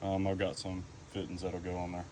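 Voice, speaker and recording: A man with a lowish voice talks through a do-it-yourself job. His delivery is folksy and unhurried in attitude, as if thinking aloud while working. The recording is made outdoors, with faint ambient noise behind the voice. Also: completely flat delivery, a little mellow. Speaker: vlogger doing a DIY car project. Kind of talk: casual how-to vlog chat. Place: outdoors at a vehicle, some wind.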